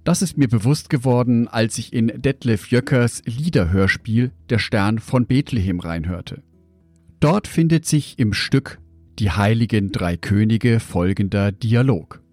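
Male devotional host, unhurried and reflective, mid pitch, close dry recording with faint music. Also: darkish tone, no echo. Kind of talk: scripted devotional narration.

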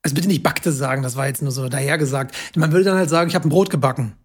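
A language nerd at a podcast table, a higher-voiced man with some huskiness, chattily picking over fine points. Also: very fast, slightly rough. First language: German. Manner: self-confessed language nerd, pedantic yet chatty. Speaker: podcast host explaining grammar. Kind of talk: casual explanatory talk.

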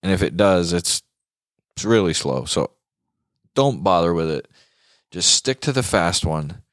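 Male talk show host, low-pitched, dry sound, informal and slangy guitar chat with emphasis. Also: expressive, unhurried, dry recording.

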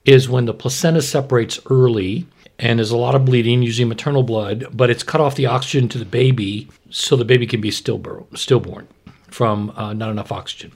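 A man with a mid-pitched, somewhat husky voice talks as a podcast host. He explains medical material conversationally and plainly, like an expert walking a listener through it. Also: a little fast. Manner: explanatory, plain-spoken, asks and answers own questions.

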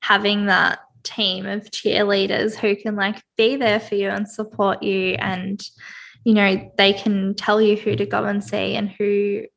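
A woman's voice: mid-range voice, little pitch movement, a little slow, creaky, fairly bright tone. A woman hosting a podcast in a casual, effusive way. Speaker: podcast host.

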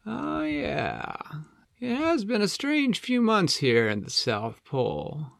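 A man's voice: high voice, huge pitch swings, slow pace, vocal fry, a bit bright, no echo, clean studio sound, pitched above the performer's own voice, exaggerated voice. A man voicing a friendly, easygoing male penguin in a children's story, chatty and helpful, with folksy hesitations. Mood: chatty, explaining. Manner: chatty, hesitant "uh" and "you know", reassuring.

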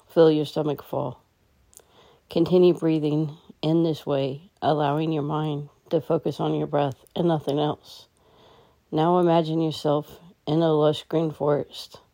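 A woman leading a guided meditation in a calm, gentle, instructional way. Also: sits low, vocal fry.

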